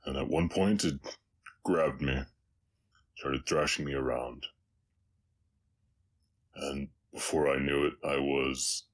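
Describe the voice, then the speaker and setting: A man voicing a brooding, remorseful orc cowboy outlaw with a slight Southern US accent, speaking haltingly and somberly in an intimate confessional roleplay. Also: a bass voice, heavily acted. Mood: grave recollection. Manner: halting, somber, long pauses. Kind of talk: intimate scripted roleplay audio, confessional storytelling.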